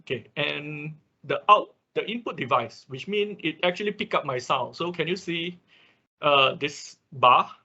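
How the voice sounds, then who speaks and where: A man's voice: fairly high pitch, lively intonation, measured pace, sharp tone, breathy edge. A man giving an informal hands-on technical tutorial as an online instructor, often checking in with short tags, recorded on a dull computer microphone. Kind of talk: hands-on technical tutorial, walking through steps. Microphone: computer microphone in an online class.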